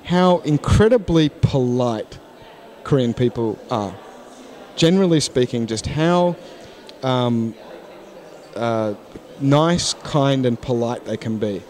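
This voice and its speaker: A man in his forties chats at a conference, holding his own mic. He speaks frankly and thoughtfully, with frequent false starts, at an even middle pitch. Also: takes it slowly. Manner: frank, thoughtful, many restarts. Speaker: interview guest, English teacher. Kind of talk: casual interview. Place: conference hall lounge, indoors. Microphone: handheld mic.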